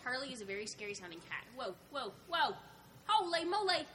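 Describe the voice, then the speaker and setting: A woman playing a racing game with friends, excitable and full of loud exclamations. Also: high voice, fairly quick. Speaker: player. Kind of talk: casual gaming chat.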